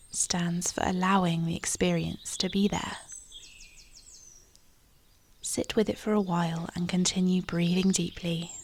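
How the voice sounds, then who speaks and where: A woman leading a guided meditation in a soft, breathy, near-whispered voice, calm and soothing, with measured phrasing and long pauses. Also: on the low side.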